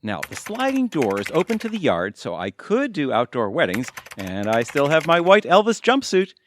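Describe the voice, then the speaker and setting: A man's voice: on the high side, wildly swooping pitch, moderate pace, fairly bright tone, slight background noise. A man playing a goofy, guileless middle-aged husband in a comedy audio drama, eager and a little wry. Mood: eager, clueless. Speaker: voice actor playing the lead. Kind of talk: community audio theatre comedy acting.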